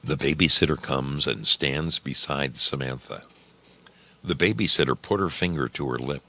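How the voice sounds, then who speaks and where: A man narrating a scripted story dramatically, with deliberate, suspenseful phrasing. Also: rumbling low, pushed and bright.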